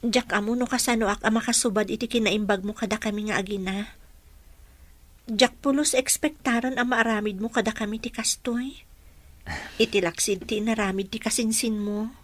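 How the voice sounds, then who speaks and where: An actress playing a grateful, gentle young single mother in a radio drama, speaking earnestly. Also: on the high side, steady tone, moderate pace, no room sound, natural voice. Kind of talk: Ilocano radio drama acting.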